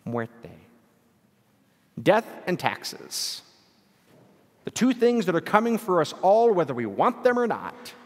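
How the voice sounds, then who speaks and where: Slow, lively-voiced priest in echoing church. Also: male voice, high voice. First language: English. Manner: earnest, unhurried, with frequent self-deprecating chuckles. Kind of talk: bilingual homily. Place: church during evening Mass. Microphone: church sound system, livestreamed. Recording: long church-hall reverb.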